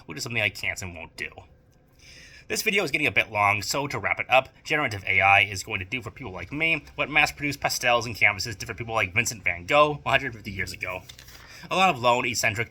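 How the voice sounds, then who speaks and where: A man presents a scripted monologue in a very bright, sharp-edged voice at a middling pitch. His intonation is lively and varied. He phrases things deliberately and emphatically, like an essayist making an argument. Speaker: video essayist and amateur audio drama producer. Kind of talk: scripted monologue to camera or mic.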